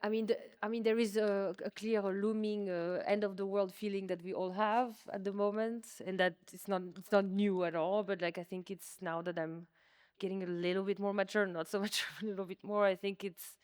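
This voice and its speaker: A woman's voice: mid-range voice, little pitch movement, normal speed, hardly any pauses, a little mellow, clean tone, no room sound. A woman whose native language is French, speaking informally and self-deprecatingly in a conversational artist's talk. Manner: informal, self-deprecating, hedging with many likes. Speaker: artist, interviewee. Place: museum exhibition space.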